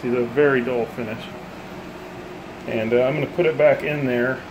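A man's voice: medium pitch, steady tone, moderate pace, background noise. A man in his thirties casually demonstrating a homemade gadget in his kitchen, thinking aloud with plenty of ums and uhs. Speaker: bowling hobbyist vlogger. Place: home kitchen, indoors. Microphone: phone held at arm's length.

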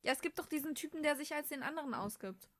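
Woman, fairly high pitch, podcast co-host, casual conversation. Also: lively intonation, fast talker, fairly bright tone. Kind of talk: casual fan podcast chat.

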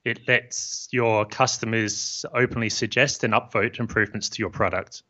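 Nz guy in his 50s, bright voice, chatting about his company, bit dull sounding audio. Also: on the low side, fairly even intonation. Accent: New Zealand. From New Zealand. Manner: measured and friendly, explains plainly. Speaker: guest, software founder. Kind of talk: casual interview chat. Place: home office room. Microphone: studio mic on boom arm, headphones.

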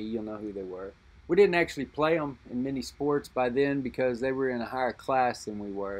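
A middle-aged man talking unscripted about a vintage lighter he holds, musing aloud and pausing to think as he describes it. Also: medium pitch. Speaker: lighter collector and reviewer. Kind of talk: unscripted show-and-tell narration.

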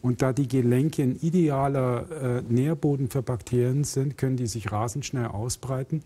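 Husky middle-aged man explaining medicine. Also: mid-range voice, steady tone, normal speed, dark tone. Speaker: hand surgeon, expert guest. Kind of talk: studio interview, explaining medicine conversationally. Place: TV studio. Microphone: clip-on lapel mic.

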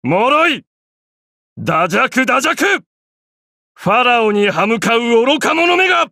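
A man's voice: very high voice, big pitch swings, takes it slowly, bright, forceful tone, no room sound, clean studio sound, exaggerated voice. An actor playing a proud, imperious young pharaoh and godlike sun king in an anime game, speaking in grandiose, archaic phrases. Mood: scornful. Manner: pompous, grandiose, archaic speech.

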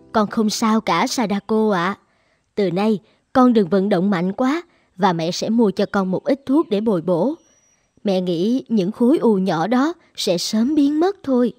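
A woman's voice: medium pitch, darkish tone. A woman voicing a loving, anxious mother in a cartoon, speaking in a tender, pleading way. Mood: reassuring. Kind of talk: animated cartoon dubbing.

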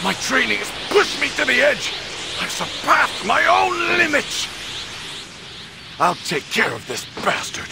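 An actor playing a proud, hot-tempered alien warrior prince in an anime fighting game, gruff and haughty in delivery. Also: male voice, extremely high pitch, wildly swooping pitch, a little slow, very noisy, heavily acted. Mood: furious, straining. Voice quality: gruff, gravelly. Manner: haughty, forceful. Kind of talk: anime game battle acting.

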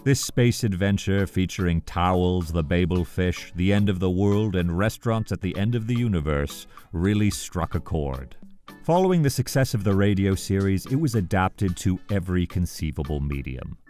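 A man's voice: low voice, lively intonation, normal speed, dark tone. A man hosting a scripted video countdown, narrating with enthusiasm and emphatic phrasing. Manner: enthusiastic, emphatic list-reading. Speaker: video host. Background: background music.

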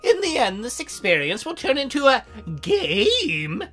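A woman's voice: sits high, huge pitch swings, a little slow, a bit bright, faint music behind, full character voice. A woman voicing a shy, lonely young female demon from a visual novel, earnest and hesitant as she speaks. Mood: wistful. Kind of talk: visual novel character read aloud.

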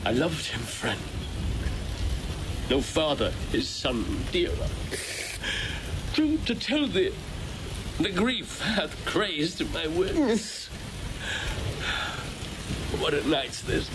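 Slow, grieving older nobleman. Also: fairly high pitch, sing-song, background noise, exaggerated voice. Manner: measured, sorrowful declaiming. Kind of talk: Shakespearean audio drama acting. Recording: loud rain ambience behind.

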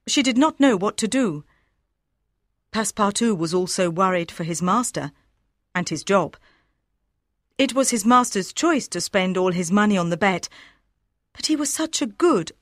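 A woman reading scripted story narration for learners in a measured, clearly enunciated way. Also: mid-range voice, expressive, dry recording, studio quality. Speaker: audiobook narrator.

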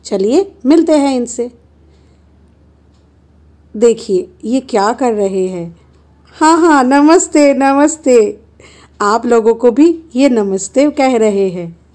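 A woman's voice: a little high, expressive, takes it slowly, pauses often, mellow. A woman narrating a road-trip travel vlog in a chatty, playful way, filming on a handheld phone from a car and at roadside stops. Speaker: travel vlogger.

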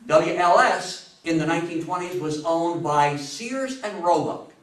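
An American man in his sixties giving an interactive public talk, animated and theatrical, full of rhetorical questions. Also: a little high, unhurried. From New York State, US. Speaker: lecturer giving a history talk. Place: small meeting room with seated audience. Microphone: far camera. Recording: echoey room, distant camera microphone.

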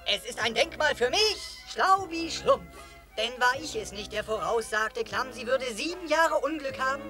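A performer voicing a pompous, self-important little male gnome in a cartoon, lecturing. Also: sits high. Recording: music behind the voice.

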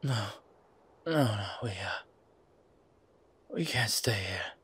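Casting: male actor as age-old vampire father, very raspy and breathy, slow, halting, protective, for audio drama. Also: on the low side, lively intonation, a bit bright, full character voice. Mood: exhausted, wry. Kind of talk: audio roleplay acting.

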